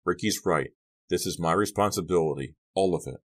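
An anxious teenage girl weighed down by guilt, acted by a male narrator. The delivery is shaky and halting, as in a dramatic audiobook, in a low voice lifted a little. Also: breathy edge. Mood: resigned.

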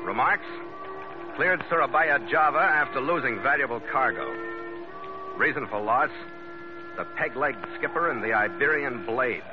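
A man's voice: medium pitch, animated, normal speed, pauses often, close to an everyday voice. Terse hard-boiled radio skipper played by a man. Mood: terse logging. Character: tough, terse male ketch skipper narrating his log. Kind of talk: 1940s radio drama narration and acting. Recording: dull vintage radio recording with music.

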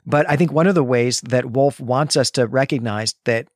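A man's voice: mid-range voice, moderate pace, dry recording. Dry-sounding man discussing literature emphatically. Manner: emphatic, analytical, explanatory. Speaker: podcast host.

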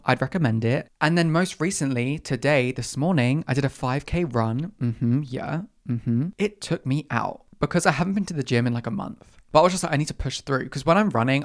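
A young adult podcast host, slightly croaky after a run, telling stories in a sassy, dramatic way and acting out their own reactions. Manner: sassy, dramatic, acts out its own reactions with big pitch jumps. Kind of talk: casual storytelling monologue.

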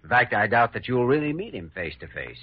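A man playing a measured young hospital doctor in an old-time radio drama. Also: medium pitch, big pitch swings, slight background noise, lightly acted. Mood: calm, reassuring. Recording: muffled old radio recording.

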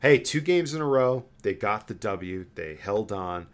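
Deep-voiced man chatting about sports. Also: expressive, unhurried. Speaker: sports podcast host. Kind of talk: casual hockey fan chat.

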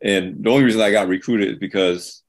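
Deep-voiced man moderating a panel. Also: fast talker. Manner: earnest, restarts sentences. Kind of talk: panel discussion.

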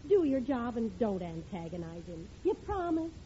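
An exasperated patient housewife. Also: female voice, a little high, big pitch swings, normal speed, warm tone. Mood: coaxing. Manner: pleading, scolding. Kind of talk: 1940s radio acting. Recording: dull old radio broadcast with hiss.

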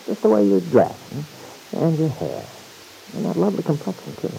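Folksy teasing son, gravelly vintage radio. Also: male voice, a little high, sing-song, mellow, full character voice, rough recording. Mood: admiring. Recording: hissy old radio broadcast recording.

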